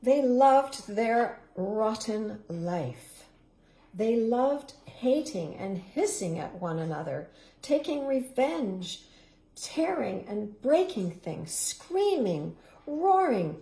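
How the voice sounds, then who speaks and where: A woman reading a children's storybook aloud, expressive and savoring each word, stopping for playful asides to her young listeners. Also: fairly high pitch, takes it slowly, vocal fry. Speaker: local councillor reading a children's book. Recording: echoey room.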